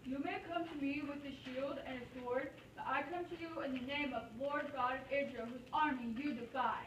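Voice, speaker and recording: A woman's voice: sits high, fairly even intonation, fairly quick, fairly bright tone. A woman playing Bible characters such as soldiers and a shepherd boy in an amateur puppet show. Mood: bold. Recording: roomy, distant, dull, faintly noisy.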